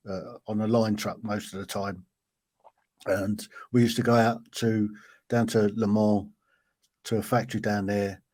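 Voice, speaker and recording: A man's voice: lowish voice, dark tone. A man hosting a podcast interview, chatty and anecdotal, with hesitant fillers. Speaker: podcast host. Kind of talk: casual interview chat.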